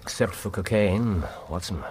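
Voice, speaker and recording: An actor playing a bored, brooding detective with sardonic wit in a radio drama, speaking languid, precise English in a theatrical way. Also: male voice, low voice, moderate pace, noisy background, close to an everyday voice.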